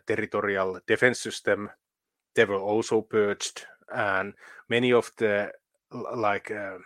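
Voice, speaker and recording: A man from Finland, a guest in a political interview, talking haltingly with many fillers and restarts. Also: lowish voice, a bit bright, no room sound.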